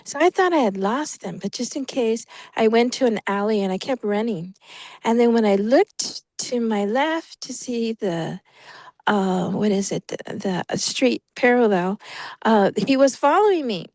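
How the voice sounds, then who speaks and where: A woman's voice: a little high, animated, unhurried, a little mellow, no echo. A woman on a video call telling a personal story in a casual, rambling way, often stopping to search for words. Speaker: prayer group participant.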